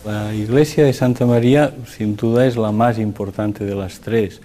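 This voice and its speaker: A man's voice: on the low side, fairly even intonation, normal speed, mellow. A man giving a spoken explanation as an interviewed expert. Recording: noisy interview clip under voice-over.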